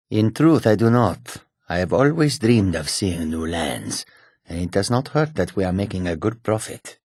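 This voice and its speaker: Low, husky cat-folk trader. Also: male voice, a little mellow, dry recording, clean studio sound, heavily acted. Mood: shrewd, assured. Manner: measured, formal, archaic phrasing. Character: male cat-folk caravan trader, courteous, wary, homesick. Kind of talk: fantasy video game character dialogue.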